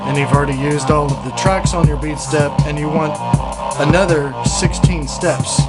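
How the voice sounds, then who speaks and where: A man's voice: fairly high pitch, normal speed. A man giving a casual product review and demonstration as a synthesizer reviewer. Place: indoor home studio with synths. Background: synthesizer and drum machine music.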